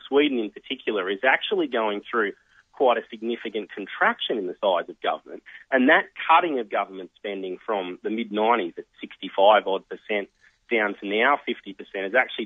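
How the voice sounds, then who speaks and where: An Australian man giving measured, analytical explanations as an expert guest in a radio interview. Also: fairly low pitch, lively intonation, husky, no room sound. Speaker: think tank research fellow, interview guest. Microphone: telephone. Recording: tinny narrow phone line.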